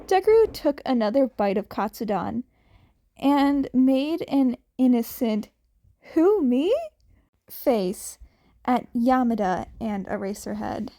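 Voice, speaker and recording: A woman's voice: fairly high pitch, expressive, slow, mellow. A woman reading a fan fiction story aloud as scripted audiobook narration. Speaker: podfic narrator.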